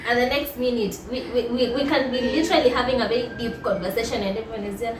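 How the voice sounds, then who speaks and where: A young woman, a native Swahili speaker, chats casually and laughs easily, stumbling and repeating words as she talks. She sounds some way from the mic in a reverberant room, with a steady layer of noise in the background. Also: on the high side, fast talker. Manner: warm, laughs often, repeats words while searching for them. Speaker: co-host. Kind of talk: casual chat. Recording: roomy, distant mic, noisy.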